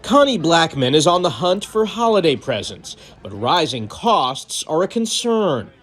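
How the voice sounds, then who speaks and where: A male network correspondent narrates a news package in a crisp, emphatic cadence. His voice sits high and moves a lot in pitch. It sounds like a polished broadcast voice-over. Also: very animated, a little slow, fairly bright tone. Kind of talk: scripted news report voice-over.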